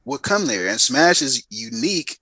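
Man, 30s, bright voice, dry close sound, emphatic storyteller. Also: medium pitch, big pitch swings, moderate pace, husky, no room sound. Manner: emphatic, repeats lines for effect. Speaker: podcast guest. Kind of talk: animated storytelling in casual chat.

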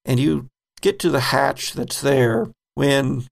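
Slow, gravelly-voiced male game master. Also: medium pitch. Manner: slow, deliberate narration with chuckles. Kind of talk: narrating a tabletop horror game.

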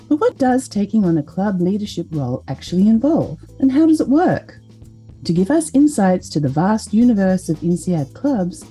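Warm, husky-voiced woman host. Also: medium pitch, rough recording. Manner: smooth read promotional delivery. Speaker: podcast host. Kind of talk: scripted narration. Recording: faint music behind voice.

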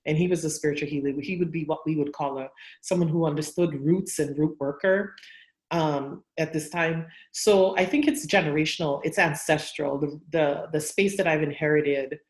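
Woman from Guyana, low voice, unhurried interview talk, echoey room. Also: steady tone. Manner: thoughtful, unhurried, with frequent ums and restarts. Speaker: guest herbalist and spiritual healer. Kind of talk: relaxed interview storytelling.

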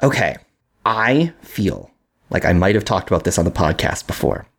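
A man's voice: sits low, big pitch swings, moderate pace, creaky, breathy edge. An American man chatting casually as a podcast host, joking and riffing playfully. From Minneapolis, United States. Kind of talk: casual comedic chat.

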